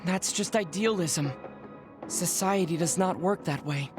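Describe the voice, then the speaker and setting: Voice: mid-range voice, close to an everyday voice. A performer in an English game dub voicing a psychic decades old in a child's body, speaking formally and precisely, proud but insecure, over music. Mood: bitter, resigned. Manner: formal, precise, measured speech. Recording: music behind the voice.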